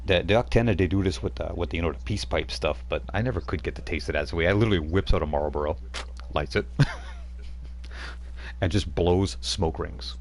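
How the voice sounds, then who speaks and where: A man's voice: sits low, moderate pace, slight background noise. A man running a tabletop roleplaying game as game master, telling the scene in a rambling, chuckling way with many fillers.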